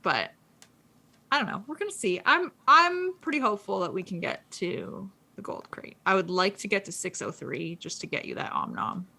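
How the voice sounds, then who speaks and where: A woman co-hosting a casual podcast, chatting quickly with lots of fillers and restarts. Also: medium pitch, expressive, vocal fry, pushed and bright. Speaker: co-host. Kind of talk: casual gaming podcast chat.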